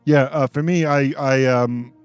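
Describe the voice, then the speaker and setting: A man's voice: mid-range voice, steady tone, unhurried, clear, resonant tone, dry recording, faint music behind. A man in his sixties hosting a radio panel, chatting casually and circling back as he rambles through questions and memories. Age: 60s. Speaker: radio host. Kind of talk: casual chat, moderating a panel.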